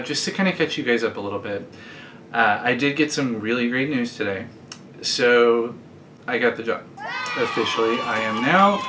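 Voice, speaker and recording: A man's voice: mid-range voice, sharp tone, slight background noise. Chatty self-deprecating man in his thirties. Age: 30s. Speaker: vlogger. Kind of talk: casual direct-to-camera chat. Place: indoors, sitting against a bed frame.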